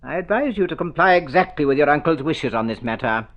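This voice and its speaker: An actor playing a prim, disapproving family solicitor in an old radio drama, speaking with formal, fussy precision. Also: male voice, a little high, expressive, fast, natural voice. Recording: dull vintage radio recording.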